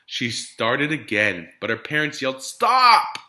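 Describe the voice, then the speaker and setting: Animated man reading a children's storybook. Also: medium pitch. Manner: deliberate, dramatic storytelling with emphasized rhymes. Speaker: elementary teacher reading to students. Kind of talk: expressive children's picture-book read-aloud.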